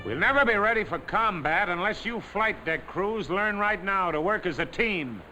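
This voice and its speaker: A man's voice: high-pitched, lively intonation, moderate pace, sharp tone, faint music behind. A man addressing a ship's deck crew as an officer, giving stern, impatient orders. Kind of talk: barked orders.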